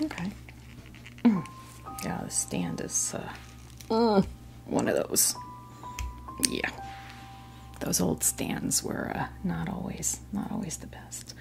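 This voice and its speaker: A woman hosting an ASMR doll unboxing, chatting casually and softly to camera, gushing over small details in an unhurried way. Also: medium pitch, gravelly, rough recording.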